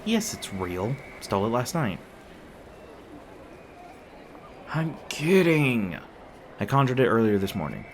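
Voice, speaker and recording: A man's voice: mid-range voice, very animated, normal speed, a little hiss or hum. A man playing a young demon boyfriend in an ASMR roleplay, speaking casually with teasing jokes, sweetness and hesitant asides.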